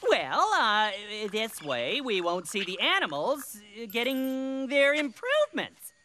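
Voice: mid-range voice, huge pitch swings, unhurried, pushed and bright, a little hiss or hum, exaggerated voice. A performer voicing a vain, scheming peacock in a cartoon, pompous and sly. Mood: sly evasion. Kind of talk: animated cartoon villain acting.

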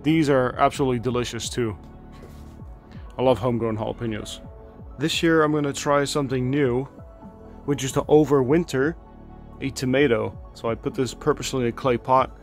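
A man talks casually and eagerly as he tours his garden outdoors, pausing on fillers as he goes. His voice sits at an ordinary middle pitch. Faint music plays under the recording. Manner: relaxed, enthusiastic, chatty with fillers. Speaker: gardening channel host. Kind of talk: casual garden tour narration.

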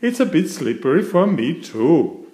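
Male reader as cheeky young girl piglet for a storybook; raised, swooping pitch, roomy. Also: very animated, a little slow, mellow. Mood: excited. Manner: exclaiming in a storybook read-aloud. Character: excitable little girl pig, playful and cheeky.

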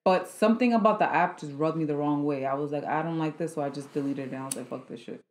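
A young woman co-hosts a casual podcast, blunt and offhand, swearing freely between quick agreements. Her voice sits a little low for a woman and rings in an echoey room. She is picked up by a camera set back across the table. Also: darkish tone. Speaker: podcast co-host. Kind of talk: casual chat.

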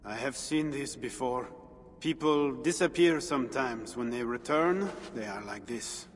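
A man's voice: medium pitch, expressive, a little slow, close to an everyday voice. A man playing a worldly, roguish French spy with a French accent, knowing and self-assured. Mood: grave. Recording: long hall reverb, faint ambient noise.

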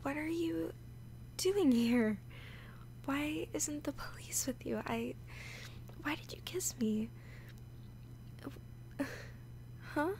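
A woman playing a nervous, awkward, obsessively lovesick young woman in an intimate audio roleplay, halting and often slipping into whispers. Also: high-pitched, a little mellow, slight background noise. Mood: bewildered, wary. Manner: halting, hesitant, breaking into whispers.

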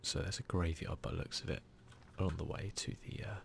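A man giving soft, near-whispered ASMR commentary as he hosts a gaming video, in a casual, muttering, self-deprecating way. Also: sits low, brisk, creaky, a little hiss or hum.

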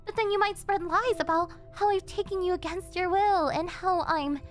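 A woman's voice: extremely high pitch, steady tone, moderate pace, faint music behind. A woman playing an obsessive, possessive young yandere girlfriend in an ASMR roleplay, with a cloying, coaxing manner that carries a sweet menace. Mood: condescendingly soothing. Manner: cloying, coaxing, with breathy giggles and gasps.

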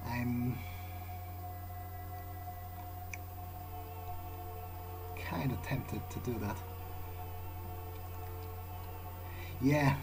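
A man playing an irreverent male vampire adventurer in a game, thinking aloud in a muttering, hesitant way. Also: medium pitch. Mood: casual musing. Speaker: gamer playing in character. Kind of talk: in-character gameplay commentary, thinking aloud. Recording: clearly noisy game sound behind voice. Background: game ambience.

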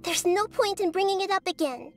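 Childlike goddess of wisdom, extremely high pitch, measured, analytical, anime game character, music behind. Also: female voice, lively intonation. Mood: solemn, calm. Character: small, childlike girl god of wisdom, calm and thoughtful. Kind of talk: anime-style video game acting.

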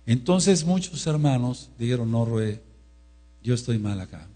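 A man preaching a sermon in an emphatic manner, rising to loud exclamations, with a husky voice. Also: mid-range voice, expressive, measured pace. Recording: mains hum.

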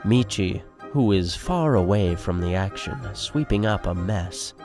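A man's voice: deep voice, expressive, unhurried, music in the background. A man giving scripted, theatrical narration for a radio play.

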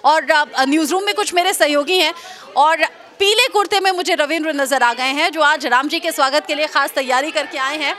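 A woman's voice: high voice, a little fast. A woman in her thirties reporting live in a newsroom, chatting with colleagues on a handheld mic. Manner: lively, chatty, upbeat questioning. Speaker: news reporter. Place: busy indoor newsroom.

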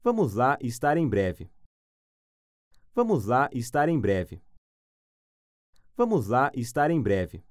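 A man who teaches phrases, saying each one three times, slowly and clearly. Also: mid-range voice, very animated, no room sound, clean studio sound. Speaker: language teacher. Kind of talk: slow phrase repetition for learners.

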